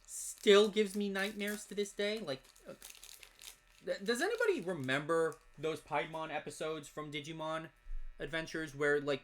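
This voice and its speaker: A man's voice: mid-range voice, sharp tone, slight background noise. A man chatting casually while opening card packs, rambling from topic to topic and reading off card names. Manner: reads off card names in quick lists. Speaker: card unboxing host. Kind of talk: casual unboxing chat.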